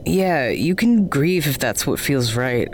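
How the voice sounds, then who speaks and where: A man acting in an audio drama as a blunt, supportive friend, speaking in casual, halting, gentle dialogue. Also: a little high, animated, slight background noise. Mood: reassuring. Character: supportive, blunt male friend offering comfort.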